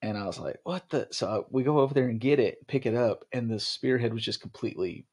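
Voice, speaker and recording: A man's voice: medium pitch, fast talker, a little mellow, breathy edge. A young man hosting a podcast, chatting casually with his wife, rambling with lots of ums and restarts. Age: young adult. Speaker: podcast host.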